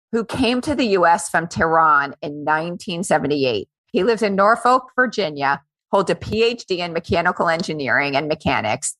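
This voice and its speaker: A woman's voice: fairly low pitch, a bit bright, no room sound. A woman hosting a podcast, introducing the show and a guest with a plain, dry delivery. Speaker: podcast host. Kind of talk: casual chat and show introductions.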